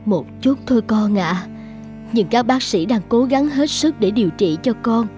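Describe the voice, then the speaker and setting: A high yet mellow woman's voice gives a loving, anxious mother in a cartoon, as an actress plays her. She speaks in a tender, pleading way, with faint music underneath. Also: lively intonation, normal speed, natural voice. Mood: gentle. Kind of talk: animated cartoon dubbing.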